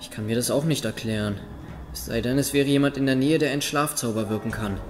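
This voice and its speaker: An earnest young acolyte healer acted by a man in a fantasy audio drama, with a medium-pitched voice. He is a thoughtful companion, heard in long reverb with music clearly behind him. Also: fast talker, close to an everyday voice. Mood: thoughtful, uneasy.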